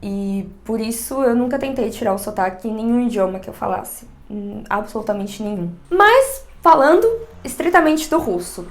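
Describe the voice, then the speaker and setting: A woman's voice: on the high side, animated, normal speed, hardly any pauses. Chatty young woman with a São Paulo accent. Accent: São Paulo Portuguese. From Brazil, São Paulo. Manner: chatty, frank, emphatic asides. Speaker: vlogger. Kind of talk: casual direct-to-camera vlog.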